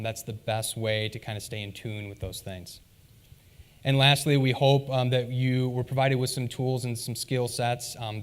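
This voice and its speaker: A man giving a relaxed, conversational conference talk on stage and leading audience questions, with frequent "um"s. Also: mid-range voice. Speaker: conference presenter.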